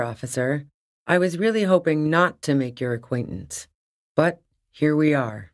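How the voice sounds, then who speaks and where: A tough, sardonic fugitive woman. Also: low voice. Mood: defiant, irritated. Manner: blunt, sardonic, profane slangy talk. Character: tough, wary fugitive woman, wry and defiant radio tinkerer. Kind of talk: video game character dialogue.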